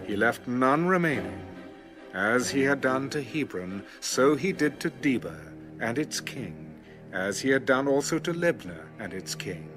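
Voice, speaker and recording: Man narrating the bible, solemn and measured but really expressive pitch, music playing behind him. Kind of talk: dramatic scripture reading, scripted narration.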